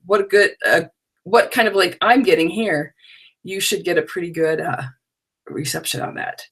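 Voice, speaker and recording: A middle-aged woman with a scratchy, drowsy voice, casually chatting as she hosts a live online crafting workshop from her home stamp room. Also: medium pitch. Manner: chatty, rambling, talks to unseen viewers. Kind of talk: casual live-stream chat.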